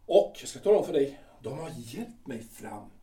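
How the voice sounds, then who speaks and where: Blustering aging army captain, domineering and boastful, played by a man, lively high voice, echoey room heard from off-mic. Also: far from the mic, lightly acted. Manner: blustering, swings between loud and muttered. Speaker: actor in an audio drama.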